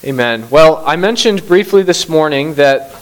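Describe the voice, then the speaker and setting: A man's voice: fairly high pitch, expressive, moderate pace, low-quality recording. A middle-aged man who grew up in Bangladesh, preaching a sermon with scripture reading and prayer in an earnest manner that rises to emphasis. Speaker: pastor.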